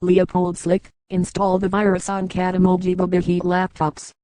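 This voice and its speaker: Flat, monotone woman as a cartoon order-giver. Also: fairly low pitch, moderate pace, heavy background noise, close to an everyday voice. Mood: flat, commanding. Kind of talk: scripted cartoon dialogue.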